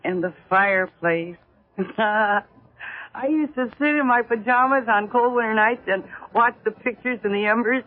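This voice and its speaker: A woman's voice: fairly high pitch, normal speed, close to an everyday voice. An American actress playing a polite, eager, nostalgic young wife in a 1970s radio drama, hesitant and girlishly enthusiastic. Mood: delighted, nostalgic. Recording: muffled, narrow-band vintage radio recording.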